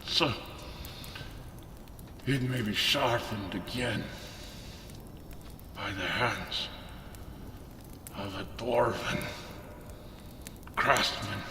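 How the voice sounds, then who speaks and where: A man playing a gruff, proud dwarven blacksmith in an ASMR fantasy roleplay, grumbling and scolding in short, deliberate phrases, sometimes whispering. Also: fairly low pitch. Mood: grudging, focused. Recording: roomy, reverberant, distant, loud background noise.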